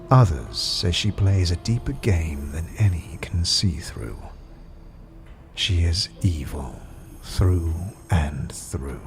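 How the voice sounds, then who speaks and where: An actor playing an imperious, menacing and cunning sorcerer in a fantasy audio drama, with haughty theatrical delivery. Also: male voice, low voice, heavily acted. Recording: music behind the voice.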